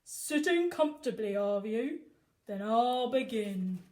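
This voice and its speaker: Woman playing a loud, cocky storybook policeman. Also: fairly high pitch, animated, takes it slowly. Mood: cocky confidence. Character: brave, cocky policeman, firm and unflappable. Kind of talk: children's storybook read-aloud.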